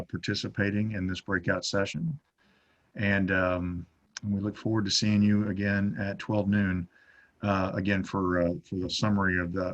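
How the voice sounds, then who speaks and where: A man moderating an online panel discussion in a halting, tentative and courteous manner, over video call audio. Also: low-pitched, muffled recording. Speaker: session moderator.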